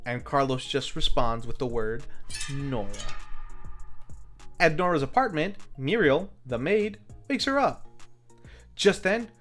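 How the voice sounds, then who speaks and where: Animated, higher-pitched man narrating over music. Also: a little slow. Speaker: film review host. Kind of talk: scripted narration, movie plot recap.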